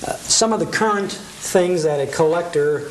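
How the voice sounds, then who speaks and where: A woman with a notably deep voice interviews a guest as a television host. Her delivery is casual and conversational, with an inquisitive, folksy way of putting questions. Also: moderate pace, slight background noise, low-quality recording.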